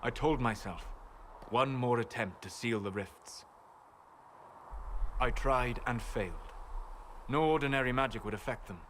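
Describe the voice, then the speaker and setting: An actor voicing a reserved elven mage in a game, speaking in measured, formal phrases. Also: male voice, medium pitch, a bit bright, lightly acted. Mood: reflective. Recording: game audio with clear background noise.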